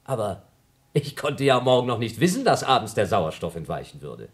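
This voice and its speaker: A man's voice: lowish voice, sing-song, brisk. An actor playing a younger astronaut in a German radio drama, arguing with cold, pedantic logic and accusing his rival. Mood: cold, reasoning. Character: younger male astronaut, cunning, argumentative, coldly accusing rival.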